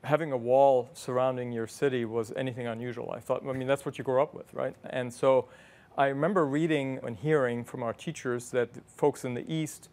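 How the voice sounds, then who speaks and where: A man, a guest in an interview, telling his memories in a thoughtful, measured way. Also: medium pitch, fairly even intonation, a little mellow. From West Germany. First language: German. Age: 50s. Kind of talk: reflective conversational storytelling.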